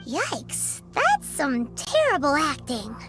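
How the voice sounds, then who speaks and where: A woman's voice: high-pitched, huge pitch swings, takes it slowly, full character voice. A woman voicing an eager, innocent little boy who admires his big brother, childlike, in a video game. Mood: teasing. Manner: bright-eyed, childlike. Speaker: video game character voice actor. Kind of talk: acted game dialogue. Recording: music behind game dialogue.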